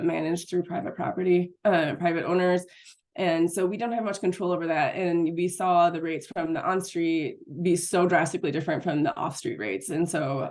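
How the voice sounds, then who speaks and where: A woman presenting in a webinar, her voice raspy as she recovers from an illness, talking in a halting way with frequent ums and self-corrections. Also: on the low side. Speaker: city parking program manager presenting.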